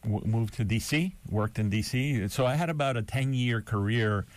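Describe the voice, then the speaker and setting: A man in his sixties from Miami, a college president and radio guest, talking thoughtfully with many pauses and fillers. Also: medium pitch, no room sound. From Miami, Florida, USA. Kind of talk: casual interview.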